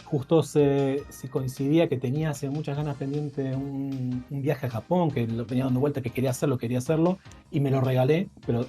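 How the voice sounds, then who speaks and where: Mellow Argentine man, rambling storyteller. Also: medium pitch, fairly even intonation, a little fast, faint music behind. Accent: Rioplatense Spanish. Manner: rambling, restarts sentences often. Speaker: guest, indie game developer. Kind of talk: casual interview chat.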